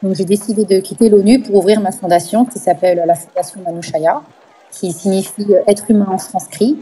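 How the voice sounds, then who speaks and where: A woman's voice: medium pitch, steady tone, a little fast, warm tone, breathy edge, low-quality recording. A woman from the Paris suburbs, giving earnest, emphatic interview testimony as an activist. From France, Paris suburbs. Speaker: NGO founder, activist, interview guest.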